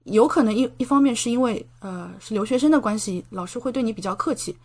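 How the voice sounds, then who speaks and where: A woman's voice: on the high side, quick pace, a little mellow. A young woman from China, a doctoral student speaking as an interview guest, explaining things in a conversational way. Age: young adult.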